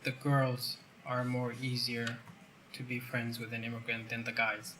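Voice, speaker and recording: A man's voice: medium pitch, completely flat delivery, measured pace, noisy background. A man speaking conversationally as the interviewer in a group discussion. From immigrant who grew up partly in Finland.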